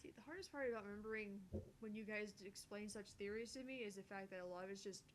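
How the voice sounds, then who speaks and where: A woman's voice: medium pitch, fast, slight background noise. A woman chatting casually as a co-host on a let's play. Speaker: let's play co-host voicing visual novel dialogue. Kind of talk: casual chat.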